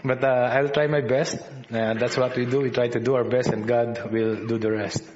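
A man with a Filipino accent preaching a sermon as a visiting minister, halting often with fillers and restarts. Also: medium pitch, level tone, a little mellow, dull audio. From Philippines. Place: church service.

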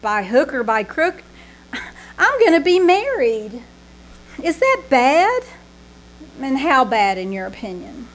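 A woman's voice: high-pitched, very animated, slow pace, pauses often, full character voice. A woman playing a flamboyant, daffy young Hollywood starlet with affected, dramatic delivery in an old-time radio comedy on stage. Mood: teasing, pointed. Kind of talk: old-time radio comedy acting before a live audience. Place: small-town theater stage. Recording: noisy live theater stage recording.

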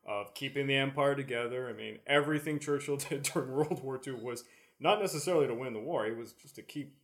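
Man, mid-pitched voice, casual history discussion, podcast panelist. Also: quick pace, fairly bright tone.

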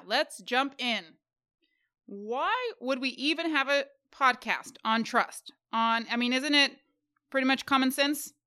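A woman co-hosting a podcast in casual chat, playful and quick to joke and giggle. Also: sits high, sharp tone. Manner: playful, giggly, jokes around. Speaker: podcast co-host.

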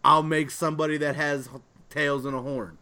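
A man's voice: on the high side, flat delivery, moderate pace, pushed and bright. A man co-hosting a podcast in casual chat, talking in a rambling, loosely phrased way. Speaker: podcast co-host.

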